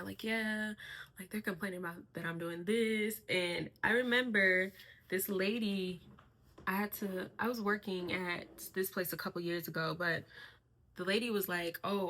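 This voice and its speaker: A young woman talking casually to her camera in a personal vlog, soft-spoken and reflective, with a voice she calls monotone. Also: fairly low pitch. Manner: soft-spoken, reflective, with frequent fillers and light laughs. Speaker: vlogger.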